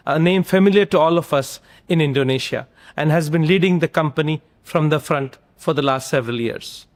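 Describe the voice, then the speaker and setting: A man giving a formal, measured scripted introduction as the presenter of an episode. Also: on the high side. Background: music.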